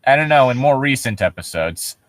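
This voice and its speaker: A man's voice: medium pitch, expressive, a little fast, dry recording. A man co-hosting casual, joking commentary on stories he reads aloud, in an irreverent, sweary way. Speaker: co-host.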